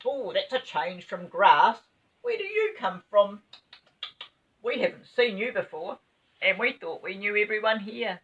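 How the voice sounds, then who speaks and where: A woman voicing a chatty, excitable small rabbit in a children's storybook, full of wide-eyed exclamations. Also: mid-range voice, measured pace, husky, recorded from a distance, highs cut off, pitched above the performer's own voice, exaggerated voice. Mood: friendly, curious.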